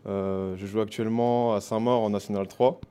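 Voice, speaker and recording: A man's voice: on the low side, steady tone, normal speed, dark tone, a little hiss or hum. A man in his twenties from the Paris region introducing himself as a basketball player, with many fillers. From Paris region, France. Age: 20s. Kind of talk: self-introduction.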